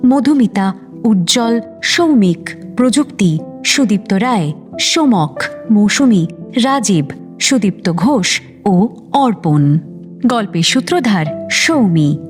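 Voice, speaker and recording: A woman presenting an audio story on radio in scripted narration, introducing it to listeners. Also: fairly high pitch. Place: studio. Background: faint music.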